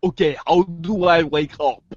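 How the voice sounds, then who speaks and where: A man's voice: a little high, a little slow, no room sound. A man playing a wordy, sardonic teenage girl, muffled. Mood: wry. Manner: pompous, elaborate wording. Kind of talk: amateur readers' theater of a webcomic.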